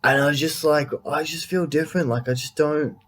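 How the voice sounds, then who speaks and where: A man with an Australian accent hosting a casual podcast interview, relaxed and encouraging. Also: fairly high pitch. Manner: relaxed, encouraging, quick asides. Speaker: podcast host.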